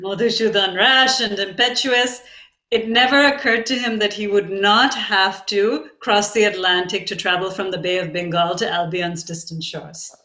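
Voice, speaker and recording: A woman playing a reflective modern Bengali narrator, telling a poet's life in measured, expressive scripted narration. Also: medium pitch, natural voice. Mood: wistful, narrating. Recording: echoey, roomy sound.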